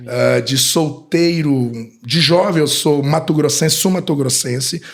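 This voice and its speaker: A husky-voiced middle-aged man, speaking at an ordinary pitch. He chats as a pastor guest on a church podcast, joking easily about himself as he tells his life story. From Brazil, Minas Gerais. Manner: jovial, storytelling, self-deprecating humor. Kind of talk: casual podcast interview.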